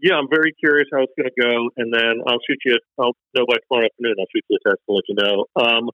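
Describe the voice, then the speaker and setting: A man's voice: medium pitch, steady tone, fast, no echo. A man, a stand-up comedian, chatting casually in a rambling, self-deprecating way with lots of fillers and restarts. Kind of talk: casual conversation. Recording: dull, dry, lo-fi sound.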